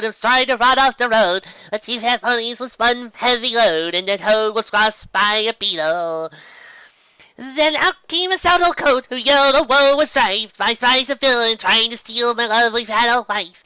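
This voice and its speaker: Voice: mid-range voice, lively intonation, normal speed, bright, forceful tone, clean tone, dry recording, highs cut off, voice pushed up. A performer voicing an exuberant female cartoon pony who tells a mock-heroic ballad in a dramatic, bouncy, over-emphatic way. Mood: playful, dramatic. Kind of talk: theatrical sung storytelling.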